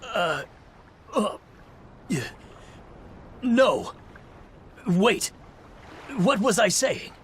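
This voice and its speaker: A man's voice: high-pitched, big pitch swings, extremely slow, long pauses, a little hiss or hum, exaggerated voice. A man voicing a haggling merchant in a video game, over faint background ambience. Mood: confused.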